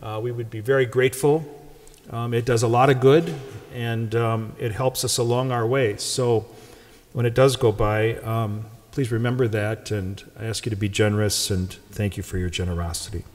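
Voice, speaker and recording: A man's voice: mid-range voice, steady tone, moderate pace, a little mellow. A man in his sixties, a pastor with an Upper Midwest American accent, reading the Gospel and then giving wry, conversational welcome remarks from the lectern. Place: large church sanctuary. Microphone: lectern microphone. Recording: long church reverb.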